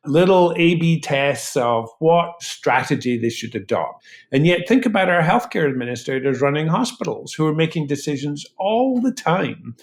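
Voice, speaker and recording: A man speaking as an expert guest in an interview, explaining his points in an articulate way with examples. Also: mid-range voice. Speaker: guest medical researcher.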